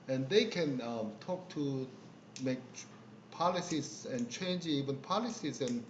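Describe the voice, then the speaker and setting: A middle-aged man with a Korean accent, a pastor telling stories in a halting way with many fillers and laughs. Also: fairly high pitch, a little hiss or hum. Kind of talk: casual conversational storytelling. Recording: roomy, dull video-call audio.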